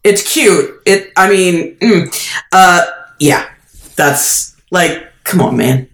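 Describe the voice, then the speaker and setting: A woman's voice: fairly low pitch, takes it slowly. A woman chatting casually as a podcast panelist, with a dry, sardonic manner. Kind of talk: casual chat. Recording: roomy echo with chatter behind.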